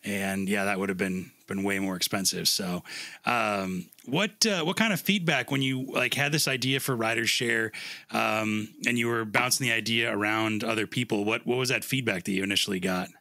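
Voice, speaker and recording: Gravelly male podcast host, dry sound. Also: fairly low pitch, bright, forceful tone, dry recording. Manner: informal, rambling, halting questions. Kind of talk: casual interview chat.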